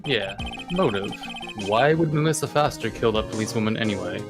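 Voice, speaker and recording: A man's voice: lowish voice, lively intonation, a little fast. A man voicing a blunt, practical male investigator, reading video game dialogue aloud. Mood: businesslike. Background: game music.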